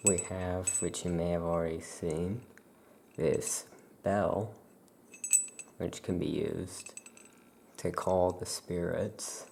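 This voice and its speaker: A soft-spoken ASMR performer playing a shopkeeper, presenting items in an unhurried, explanatory way. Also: low voice, steady tone, gravelly, slight background noise.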